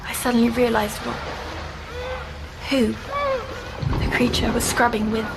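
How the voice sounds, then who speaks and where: A woman playing a timid young girl in an audio drama, recounting nightmares in a hesitant, halting way. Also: a little high, little pitch movement, creaky, very noisy. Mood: frightened. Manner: hesitant, halting, breaking off mid-phrase.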